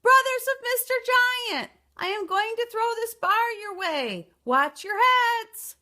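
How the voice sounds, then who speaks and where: A woman's voice: very high voice, animated, slow pace, sharp tone, pitched above the performer's own voice, heavily acted. A woman voicing a clever, bold and polite little girl in a storybook, speaking with confidence. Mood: loud calling. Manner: confident, calling out boldly.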